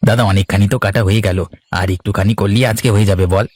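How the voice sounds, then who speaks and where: A man's voice: fairly low pitch, steady tone, fast talker, a little mellow, no room sound, natural voice. A man playing a practical village farmer, one of several brothers, in cartoon dialogue. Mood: busy, willing. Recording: music under the voice. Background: instrumental music.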